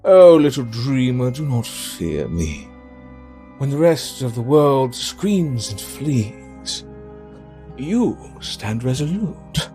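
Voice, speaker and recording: Slow, swooping, seductive villain, played by a man. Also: mid-range voice, big pitch swings, warm tone, soft background music. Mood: possessive, menacing. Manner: drawling, theatrical, teasing. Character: seductive, menacing, theatrical male villain, possessive and taunting. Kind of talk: audio drama acting.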